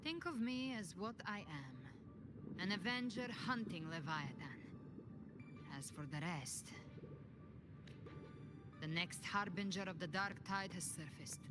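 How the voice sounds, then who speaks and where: A woman playing a brooding, defiant monster-hunting avenger in a video game, speaking in a deliberate, grave and cryptic way. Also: fairly low pitch. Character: brooding, defiant female avenger who hunts dark monsters. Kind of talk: dramatic scripted game dialogue. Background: prominent background music.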